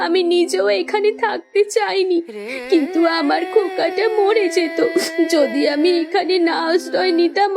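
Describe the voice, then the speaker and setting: Woman acting a high-voiced, helpless young village widow. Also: vocal fry, full character voice. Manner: tearful, timid, beseeching. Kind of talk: cartoon voice acting.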